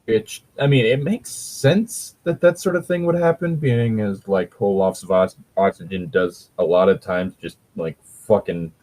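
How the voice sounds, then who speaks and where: A man's voice: lowish voice, unhurried, hardly any pauses, a little mellow, rough recording. A man casually reading and critiquing a story as a podcast host, rambling, sweary and critical. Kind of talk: casual commentary with read-aloud passages.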